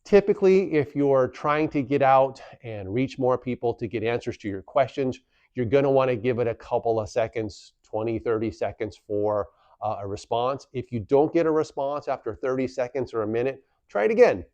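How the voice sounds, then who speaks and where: A man's voice: medium pitch, moderate pace, warm tone, dry recording. Man, instructional presenter, plain step-by-step delivery, repeats key points, dry close sound, slightly lo-fi. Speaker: instructional video presenter for a community association. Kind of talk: instructional how-to explanation.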